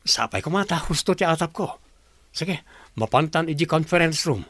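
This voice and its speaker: An actor playing a casual, chummy young office worker in a radio drama. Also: male voice, on the high side, animated, moderate pace, a bit bright, dry recording.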